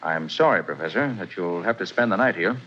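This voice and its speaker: A calm, commanding master detective with a low voice that rises and falls with emphasis. His tone is bright and deliberate. The sound is dull and faintly hissy, like an old radio show. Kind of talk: 1940s radio drama acting.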